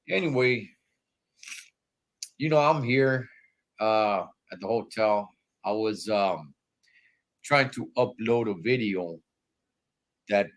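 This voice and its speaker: A man with a stuffy nose from allergies, hosting a casual wrestling livestream from a hotel room in laid-back slangy talk. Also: lowish voice. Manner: laid-back slangy talk, calls viewers dog and Holmes.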